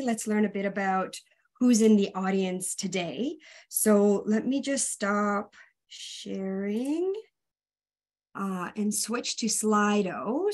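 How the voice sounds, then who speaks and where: A woman's voice: medium pitch, darkish tone. A woman from Romania whose first language is Hungarian, presenting a webinar over a video call in a conversational way with frequent ums. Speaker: guest speaker, public health consultant. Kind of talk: casual presentation.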